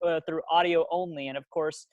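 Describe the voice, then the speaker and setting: A man asks long, winding interview questions, stopping often on "uh" as he finds his words. His voice sits a little higher than most men's. The recording is close and dry, with no echo around it. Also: fairly even intonation, a little mellow, muffled recording. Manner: halting, frequent "uh" fillers.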